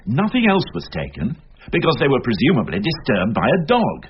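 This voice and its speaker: A man's voice: mid-range voice, wildly swooping pitch, brisk. An actor playing an insistent senior British detective who thinks aloud and argues his theories emphatically in a radio drama. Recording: dull, hissy radio drama recording with clicks.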